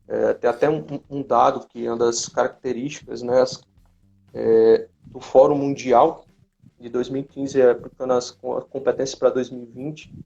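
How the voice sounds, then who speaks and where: Gravelly man, dull lo-fi audio. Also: medium pitch, flat delivery, normal speed, mellow, soft background music. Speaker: host of a live chat. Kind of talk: casual interview chat. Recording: dull lo-fi live-stream audio.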